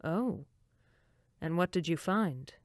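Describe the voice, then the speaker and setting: A woman's voice: lowish voice, animated, slow, dry recording, studio quality. A woman playing a fussy, dismissive summer camp director in a horror audio drama, with prim, wordy phrasing. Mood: unconcerned.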